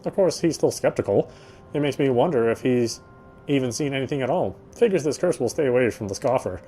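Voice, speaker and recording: A man's voice: mid-range voice, expressive, a little fast, dark tone, slight background noise. A man reading a visual novel's narration aloud as he plays, now and then stumbling and rereading phrases.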